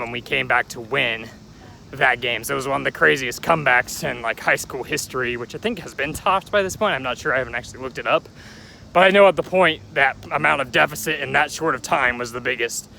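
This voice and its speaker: A man's voice: medium pitch, gravelly, bright, forceful tone, a little hiss or hum. A young man telling his life story in a rambling, chatty vlog, recorded outdoors near a railway. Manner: rambling, chatty, joking aside at passing trains. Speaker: vlogger.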